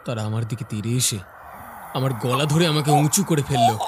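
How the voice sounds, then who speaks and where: Man acting young male office worker, first-person narrator, medium pitch, scripted horror cartoon storytelling. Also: quick pace, heavy background noise, close to an everyday voice. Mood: fearful.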